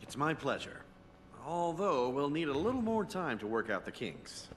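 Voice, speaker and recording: An actor playing an obliging, precise academy scientist in a video game, explaining things politely and deferentially. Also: male voice, on the high side, sing-song, moderate pace, big-room sound, slight background noise. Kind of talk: video game cutscene acting.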